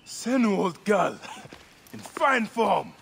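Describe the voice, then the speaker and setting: A man's voice: high voice, sing-song, slow pace, gravelly, fairly bright tone, a little hiss or hum, heavily acted. An actor playing a grim male warrior who speaks in short, determined lines. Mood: warm. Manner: terse and determined.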